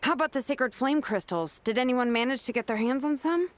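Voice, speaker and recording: A woman's voice: sits high, a little fast, a little hiss or hum, lightly acted. A woman playing a laid-back, self-assured young warrior in a video game, easygoing in manner.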